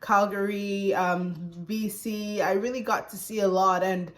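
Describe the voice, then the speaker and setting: Chatty young woman from Zambia, mid-pitched. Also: fairly even intonation, a little slow. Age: 20s. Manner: chatty, peppered with "like" and "you know". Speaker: interview guest, recruiting manager. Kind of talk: casual personal interview storytelling.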